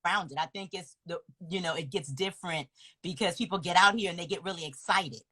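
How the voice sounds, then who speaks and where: A woman from Los Angeles hosting a casual interview, animated and slangy with lots of encouraging interjections. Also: fairly low pitch, a little fast, slightly rough. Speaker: host.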